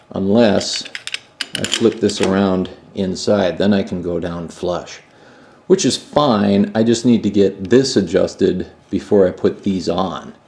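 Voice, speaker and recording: Middle-aged man, relaxed rambling workshop talk, recorded off a camera mic across a roomy garage. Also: low voice, gravelly, recorded from a distance, a little hiss or hum. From Minnesota, USA. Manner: relaxed, musing, thinks aloud with fillers. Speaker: motorcycle builder and channel host. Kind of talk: casual workshop vlog. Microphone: camera mic, moving around the room.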